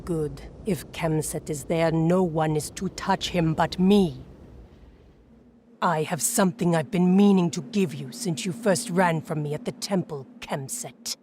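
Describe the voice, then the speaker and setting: An actor playing a stern, proud warrior leader giving grave orders in a video game cutscene. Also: male voice, fairly high pitch, a little slow, darkish tone, a little hiss or hum, close to an everyday voice. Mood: vengeful.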